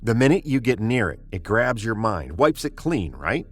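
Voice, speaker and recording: A spaceship expedition commander with a fairly low voice. He is plain-spoken and decisive, carrying authority without fuss. The delivery is that of 1950s science fiction radio acting. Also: big pitch swings, moderate pace. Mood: explaining, serious.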